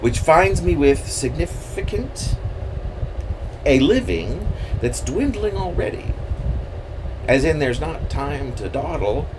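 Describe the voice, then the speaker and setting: A man reading his own poem aloud in a theatrical, halting manner with swooping emphasis. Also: fairly high pitch, sing-song, noisy background. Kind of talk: dramatic poetry reading.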